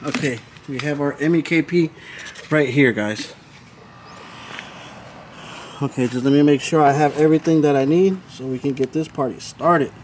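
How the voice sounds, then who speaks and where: Higher-voiced man vlogging over outdoor noise. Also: moderate pace, pauses often, a little mellow. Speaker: host of a DIY car audio video channel. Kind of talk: casual hands-on vlog narration to viewers. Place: backyard, outdoors. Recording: noisy outdoor recording, vehicle and ambient sounds.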